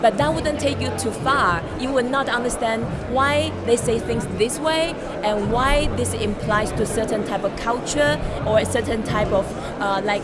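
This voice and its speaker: Chinese-accented woman over loud music. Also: a little high. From Chinese-speaking East Asia. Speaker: entrepreneur and project founder being interviewed. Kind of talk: explanatory interview answers. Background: loud background music.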